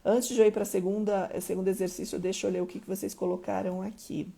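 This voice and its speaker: A woman's voice: mid-range voice, level tone, fast, vocal fry, mellow, husky. A woman from Mato Grosso do Sul with a Curitiba accent, coaching listeners on a live stream and often checking in with them. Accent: Curitiba Portuguese. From Mato Grosso do Sul, Brazil. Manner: emphatic, keeps checking in with listeners. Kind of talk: motivational live-stream teaching.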